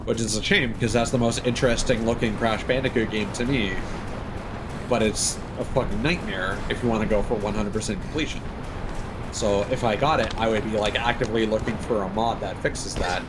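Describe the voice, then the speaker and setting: A man's voice: fairly low pitch, fairly even intonation, a little fast, background noise. A man doing casual gaming commentary as a live streamer, rambling and opinionated as he thinks aloud. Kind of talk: casual gaming commentary, chatting while playing.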